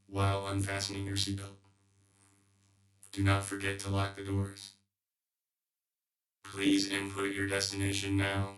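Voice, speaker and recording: A robotic, synthetic male voice giving formal, measured navigation prompts in a monotone. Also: low voice, reverberant, noisy background. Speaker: navigation system voice.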